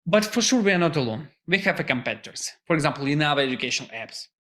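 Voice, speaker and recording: A man with a Russian accent, Russian his first language, giving an earnest startup pitch as founder. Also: sing-song, quick pace, fairly bright tone. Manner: earnest, non-native phrasing.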